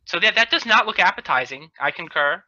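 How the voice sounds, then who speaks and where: Bright, forceful man hosting a comedy podcast. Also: high voice, animated, a little fast. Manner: teasing, joking. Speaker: podcast host. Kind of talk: casual comedic banter. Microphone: video call. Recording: dull lo-fi video call audio.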